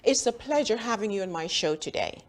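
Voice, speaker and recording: Lively Jamaican woman hosting a health show. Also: medium pitch, fairly quick. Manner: friendly, laughs easily, upbeat questions. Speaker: host, physician.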